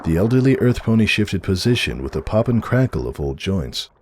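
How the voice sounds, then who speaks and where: A man's voice: lowish voice, sing-song, fairly quick, darkish tone. A man narrating a story in a dramatic reading style.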